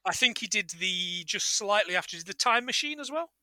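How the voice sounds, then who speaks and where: A man's voice: sits high, animated, moderate pace, pushed and bright. A man co-hosting a film podcast, recapping casually in a jokey, sardonic and sweary way.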